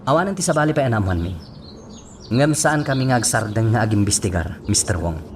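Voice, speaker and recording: A man's voice: on the low side, animated, fast talker, slight background noise, natural voice. An actor with an Ilocano accent playing a serious man in a radio drama. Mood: serious, formal. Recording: distant mic, music behind.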